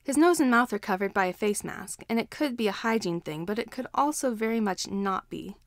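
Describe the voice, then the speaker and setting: Female story narrator, medium pitch, expressive scripted reading in a dry, echo-free space. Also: a little fast. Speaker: fan fiction narrator.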